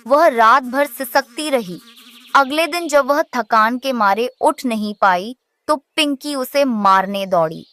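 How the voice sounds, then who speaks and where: A woman's voice: high voice, slow, fairly bright tone, no echo. A woman narrator reading a scripted children's story.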